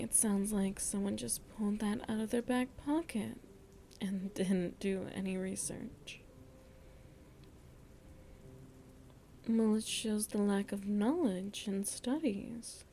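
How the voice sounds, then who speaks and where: Woman as a slow, whispery, seductive vampire. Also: mid-range voice, slight background noise. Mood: amused, teasing. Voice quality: soft, breathy, often dropping into whisper. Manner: slow, deliberate, musing, addresses listener tenderly. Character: seductive motherly female vampire, worldly, philosophical, gently teasing. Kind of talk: ASMR roleplay, intimate storytelling to the listener.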